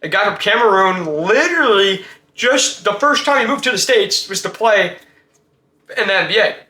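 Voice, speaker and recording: A man's voice: high voice, lively intonation, normal speed, sharp tone, reverberant. A man hosting a casual sports talk show, giving blunt, slangy opinions and firing off rhetorical questions.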